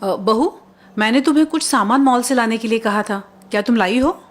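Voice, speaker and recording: Woman playing a kind, high-voiced mother-in-law. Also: fairly quick, lightly acted. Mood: soothing. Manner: soothing, reasoning, motherly. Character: kind, calm, amused middle-aged mother-in-law. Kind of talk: Hindi cartoon story acting.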